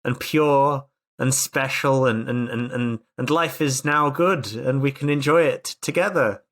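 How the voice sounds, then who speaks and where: A man's voice: mid-range voice, measured pace, a bit bright. A man co-hosting a casual podcast, joking emphatically and repeating words for comic effect. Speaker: podcast co-host and player.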